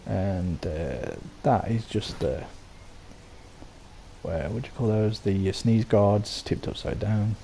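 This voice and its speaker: Croaky low man with gravelly voice. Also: slow, warm tone, slight background noise. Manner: rambling, full of ums and restarts. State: sore throat, croaky. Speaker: game settlement builder. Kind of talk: casual voice-over walkthrough.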